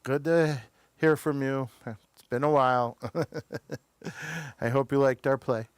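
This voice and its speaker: An American man hosts an unscripted talk show at an unhurried pace. His voice is smooth and clear, recorded dry and close. He speaks hesitantly, wandering through his sentences and sometimes leaving them unfinished. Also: mid-range voice, steady tone, dry recording.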